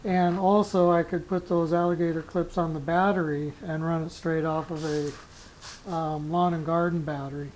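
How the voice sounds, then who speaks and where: A hobbyist giving a casual, unscripted gear demo, explaining things in a rambling, halting way. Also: medium pitch, level tone, darkish tone, poor audio. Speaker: hobbyist demonstrating a radio setup. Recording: clear background noise with clicks.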